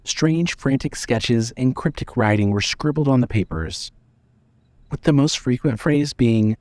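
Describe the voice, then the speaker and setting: A man narrating a scripted dramatic story in a measured reading manner. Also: medium pitch, expressive, darkish tone, dry recording.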